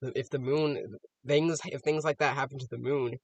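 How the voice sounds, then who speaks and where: Male reaction host, medium pitch, dry sound, unscripted and conversational, reasons things out as he talks. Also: fairly even intonation, normal speed, no echo, highs cut off. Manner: musing, thinking aloud with rhetorical questions. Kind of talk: casual off-the-cuff reaction commentary.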